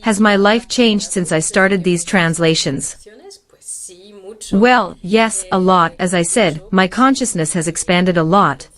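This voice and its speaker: A woman's voice: fairly low pitch, slow. A woman translator answering interview questions in a reflective way, repeating phrases for emphasis.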